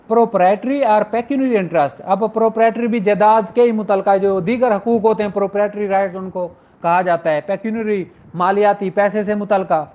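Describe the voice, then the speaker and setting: A man with a Pakistani accent lecturing in an informal, didactic way, reading out formal text and explaining it, mixing in English terms. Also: sits high. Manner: didactic, informal, switches between Urdu and English legal terms. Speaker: law lecturer. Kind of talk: explanatory lecture, reading statutes aloud then explaining them. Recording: dull lo-fi audio.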